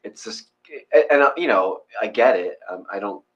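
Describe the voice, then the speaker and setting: Casual male co-host, self-deprecating and rambling, very animated pitch range. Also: normal speed, highs cut off. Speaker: co-host of a guided meditation session. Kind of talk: casual chat.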